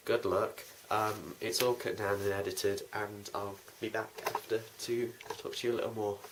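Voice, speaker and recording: A man's voice: lowish voice, level tone, moderate pace. Man vlogging over noisy audio. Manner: informal, rambling. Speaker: host, writer and producer of a fan audio drama. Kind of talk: casual behind-the-scenes vlog talk. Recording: noisy amateur recording with clicks.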